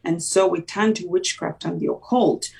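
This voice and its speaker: A woman's voice: lowish voice, normal speed, vocal fry, darkish tone, recorded from a distance. A woman from Uganda, a native Luganda speaker, telling her life story reflectively with many "you know" fillers. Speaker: guest sharing testimony. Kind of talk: personal testimony in conversation.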